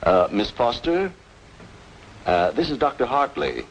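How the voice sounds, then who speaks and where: An actor playing a grave, formal doctor, deliberate and insistent, in an old-time radio noir drama. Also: male voice, medium pitch, very animated. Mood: courteous, urgent. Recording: dull, noisy old radio recording.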